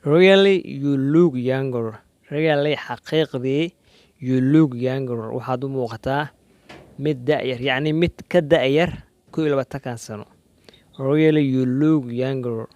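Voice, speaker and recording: A man with a Somali accent teaching English conversation, saying each English phrase slowly and clearly and then explaining it quickly in Somali. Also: mid-range voice, a little mellow, no echo, dull audio. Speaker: language teacher. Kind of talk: slow English dialogue drills with Somali explanations.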